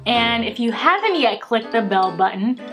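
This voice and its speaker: A woman's voice: a little high, very animated, moderate pace, music in the background. A woman from Romania hosting a video channel, chatting casually and teasingly with her partner. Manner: playful, teasing.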